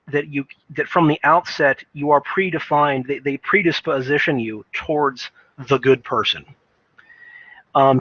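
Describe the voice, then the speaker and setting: Bright-voiced man rambling casual commentary, lo-fi sound. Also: a little high, dry recording. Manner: rambling, hesitant, thinking aloud. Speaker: video game commentator. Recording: dull lo-fi microphone sound.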